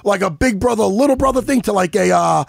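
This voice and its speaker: A man in his forties, co-hosting a casual podcast with loud, animated, laughing banter. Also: sits high, fast talker, gravelly, no room sound, clean studio sound. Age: 40s.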